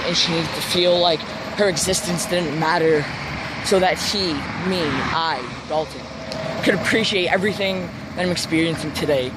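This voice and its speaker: Male vlogger, twenties, high voice, earnest and deliberate confessional delivery, very noisy roadside-type recording. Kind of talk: personal confessional vlog.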